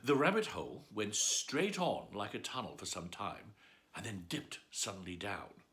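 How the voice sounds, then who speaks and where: A man reads a children's book aloud as a bedtime story, with playful theatrical flair. His voice is bright and forward. His pitch leaps high and low all through the telling. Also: sing-song, moderate pace. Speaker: storyteller host.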